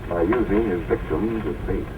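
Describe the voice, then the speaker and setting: A deep-voiced, authoritative gentleman sleuth played by a male actor in a vintage radio mystery. He is assured and instructive. The recording is dull and distant, with clear vehicle noise. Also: normal speed, natural voice. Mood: hushed, resolute. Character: calm, authoritative gentleman detective explaining clever crimes. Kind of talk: 1940s radio acting. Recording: muffled old radio played in noisy vehicle.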